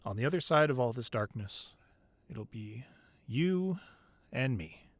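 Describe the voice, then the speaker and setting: A man playing a weary but devoted, protective crime boss boyfriend in an intimate ASMR roleplay, speaking softly and close, at times breathy. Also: medium pitch, animated, a little slow, dry recording. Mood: devoted, hopeful. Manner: soft, intimate, drifting into breathy whispers.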